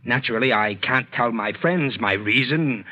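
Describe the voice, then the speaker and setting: A man's voice: mid-range voice, normal speed, lightly acted. An actor playing a weak, self-pitying, snobbish young man from a rich family, evasive and petulant, in a 1940s radio drama. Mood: self-serving, defensive. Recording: dull vintage 1940s radio recording.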